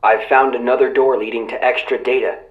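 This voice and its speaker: An actor playing a calm, serious young man, a loyal ally, in video game dialogue. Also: mid-range voice, fairly quick, lightly acted. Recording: dull, roomy, slightly muffled sound.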